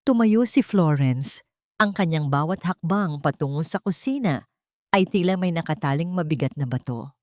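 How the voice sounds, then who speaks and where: A woman's voice: low voice, lively intonation, normal speed, a little mellow, no room sound, studio quality. A woman reading a scripted dramatic story as narrator, in a solemn, dramatic manner.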